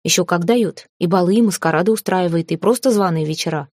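A woman's voice: fairly low pitch, fast talker, darkish tone, dry recording, natural voice. A young aristocratic fiancé in a fantasy storybook, read by a woman in a lightly altered voice. He speaks with assurance and teases as he persuades, in a dry, close sound. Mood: surprised, assured.

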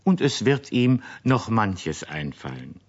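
Very deep, husky male children's storyteller. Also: big pitch swings, slow. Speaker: narrator of children's radio play series. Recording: dull vintage radio recording.

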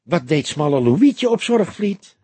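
A man reads as a young detective sidekick, pitched up and husky. He is eager and curious, pressing with emphatic questions in an audiobook story. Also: big pitch swings, slow, mellow, no echo, heavily acted. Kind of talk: audiobook character dialogue.

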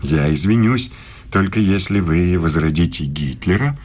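An older actor with a deep, husky voice playing a proud, defensive German professor in a radio play, measured and self-justifying. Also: male voice, lively intonation, a little mellow. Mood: proud, stubborn.

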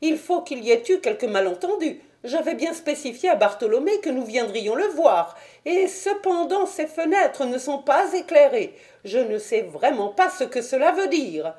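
A woman voicing an anxious, fussy gentleman who speaks in a nervous, indignant way in an audiobook story. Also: high voice, big pitch swings, moderate pace, few pauses, pitched above the performer's own voice. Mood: uneasy, bewildered.

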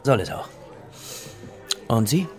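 An actor playing a wry, enigmatic archaeologist in conversational radio drama dialogue, playful and cryptic. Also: male voice, mid-range voice, big pitch swings, slow pace, darkish tone, soft background music. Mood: teasing, cryptic. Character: teasing, enigmatic male archaeologist drinking in a trattoria.

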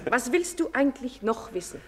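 A woman's voice: on the high side, very animated, brisk. An actress playing a defiant, wary nineteen-year-old village girl in a German stage drama, with curt, indignant delivery. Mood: dismissive. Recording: echoey theatre-style hall reverb.

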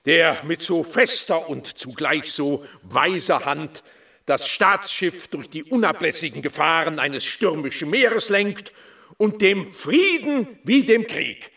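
An actor playing a pompous provincial official in a radio drama, declaiming a grand public speech. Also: male voice, a little high, animated, slow pace, vocal fry, bright, forceful tone, heavily acted. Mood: grandiose.

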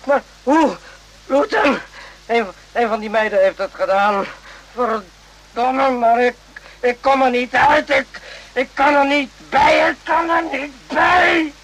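An actor playing a distraught, embittered military man in a radio play, talking in halting, agitated repetitions. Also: very high-pitched, steady tone, fairly bright tone, exaggerated voice. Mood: agitated, vengeful. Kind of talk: Dutch radio play acting. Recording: noisy old radio drama recording.

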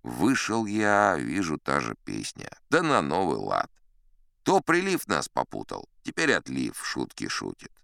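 An actor playing a chatty, boastful old sea captain, spinning tall tales in a theatrical audiobook manner. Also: male voice, rumbling low, wildly swooping pitch, unhurried, gravelly, a bit bright, no echo, studio quality, heavily acted. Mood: wry recounting. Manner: theatrical, leisurely, old-fashioned conversational raconteur. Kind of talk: dramatized audiobook storytelling.

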